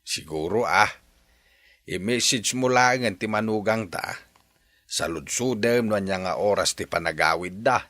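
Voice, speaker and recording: Brief: calm practical father, lowish bright voice, dry sound. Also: a little slow, dry recording. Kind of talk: Ilocano radio drama acting.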